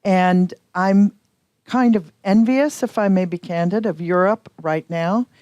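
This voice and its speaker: A middle-aged American woman, a professor, speaks on a conference panel in a voice that sits at an ordinary middle pitch. She is candid and thinks aloud, restarting her sentences. The sound is close and dry, with no room echo. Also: unhurried, a little mellow, highs cut off. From United States. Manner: candid, restarts and self-corrections. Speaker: professor and mentor. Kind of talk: panel discussion.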